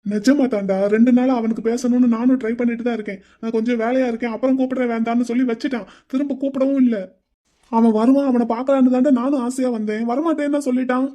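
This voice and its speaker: A man's voice: very high voice, steady tone, fast, mellow. A man voicing a brother-in-law caught in a family quarrel, who talks in a pleading, defensive way.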